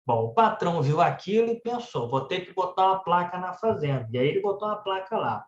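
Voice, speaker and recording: Man, Brazilian Portuguese accent, slightly high voice, conversational religious storytelling, dull lo-fi recording. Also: big pitch swings, fairly quick. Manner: conversational storytelling, frequently adding "né" tags. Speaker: speaker at a spiritist centre. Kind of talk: religious talk told as anecdotes. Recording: echoey room, dull muffled sound.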